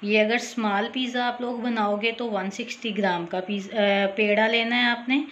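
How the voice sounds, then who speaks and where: A woman narrating a cooking tutorial as voice-over, talking casually and directly to her viewers, often pausing with a quick "okay". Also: on the high side, steady tone, fairly bright tone, lo-fi sound, slight background noise. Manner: chatty, repeats "theek hai", addresses viewers directly. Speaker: cooking channel host.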